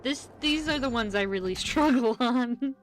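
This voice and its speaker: A woman doing casual live game-stream commentary in an expressive, exclamatory, giggly way, swearing freely. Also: fairly high pitch, normal speed. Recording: game music behind the voice. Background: video game music.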